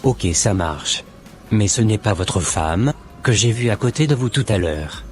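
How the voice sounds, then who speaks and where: Low-voiced devout man, acted by a man, measured. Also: animated, soft background music. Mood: calm, admonishing. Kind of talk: scripted cartoon dialogue.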